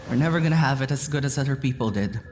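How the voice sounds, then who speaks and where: An actress playing a tender but angry woman full of regret in an audio drama, speaking haltingly and with emotion. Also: rumbling low, a little mellow, soft background music. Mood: gentle, sad. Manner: halting, emotional, sighing pauses.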